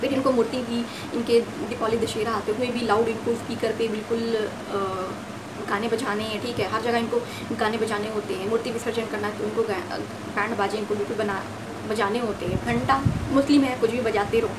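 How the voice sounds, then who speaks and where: A young woman speaks in a fairly high voice, ranting emphatically to camera. She repeats herself and fires off rhetorical questions. The phone recording is clearly noisy and sounds a little distant from the mic. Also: level tone, brisk. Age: young adult. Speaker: vlogger. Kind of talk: opinionated rant to camera.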